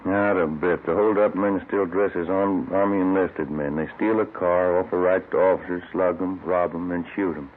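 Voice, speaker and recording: Male actor as American detective sidekick, low register, casual and laconic, old radio drama with narrow, tinny sound. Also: fairly even intonation, moderate pace, hardly any pauses, close to an everyday voice. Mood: matter-of-fact. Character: male police detective partner, stolid and plainspoken. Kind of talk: 1950s radio crime drama acting. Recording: very noisy, thin old radio recording.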